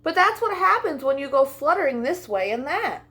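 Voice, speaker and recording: Woman voicing a high-pitched, chiding mother sheep. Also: sing-song, normal speed, a bit bright, a little hiss or hum. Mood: gently chiding.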